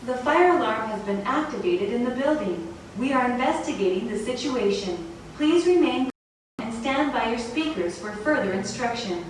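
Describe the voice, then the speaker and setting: A woman reading a formal, measured, scripted public address announcement, played through an intercom speaker. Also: on the high side, expressive. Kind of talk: scripted pre-recorded announcement. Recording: very echoey intercom playback, distant, faint noise.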